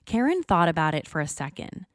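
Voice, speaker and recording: An American woman hosting a true crime podcast, narrating from a script in a measured, serious storytelling manner. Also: lowish voice, sing-song, no echo, studio quality.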